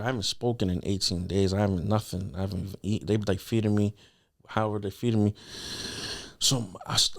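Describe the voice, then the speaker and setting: A young man telling a personal story as a podcast guest in a casual, slangy, earnest conversational way. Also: on the low side.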